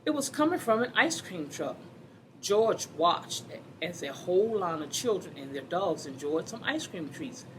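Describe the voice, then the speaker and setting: Lively, low-pitched woman reading to children. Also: a little slow, background noise. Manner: expressive, addresses children directly, emphasizes words for effect. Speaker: children's story reader. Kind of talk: read-aloud storytime for young children.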